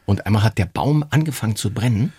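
A man's voice: on the low side, fast, mellow, husky, dry recording. A man in his fifties, chatting casually as a guest, laughing often and poking fun at himself. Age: 50s. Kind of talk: casual chat.

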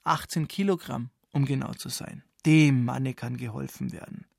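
A man's voice: mid-range voice, normal speed, creaky, darkish tone, no echo, clean studio sound. A man hosting a radio programme with wry, ironic scripted narration, often putting things as rhetorical questions. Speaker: radio show host.

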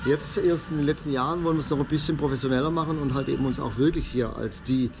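A man's voice: mid-range voice, fast, creaky, noisy background. A man, a street football league founder, talking casually in an interview and tagging sentences with "ne". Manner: relaxed, chatty, tags sentences with "ne".

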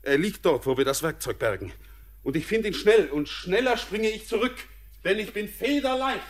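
An actor playing a restless, haunted young miner in a classic radio play, speaking in a brooding, urgent way. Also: male voice, high voice, animated, moderate pace. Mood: feverish. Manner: brooding, then feverish and urgent. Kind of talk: 1900s-style radio play acting.

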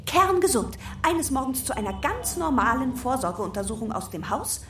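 A woman's voice: high voice, big pitch swings, moderate pace, a bit bright, reverberant, recorded from a distance, slight background noise. An actress playing a gossiping woman who tells stories with dramatic relish in a conversational radio play scene. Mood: gossipy, appalled. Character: gossiping woman relating a medical horror story.

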